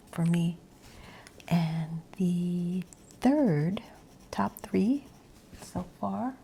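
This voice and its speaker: A woman ASMR creator rambling in a breathy whisper mixed with soft, hushed speech, meandering as she goes. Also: fairly low pitch, a little hiss or hum.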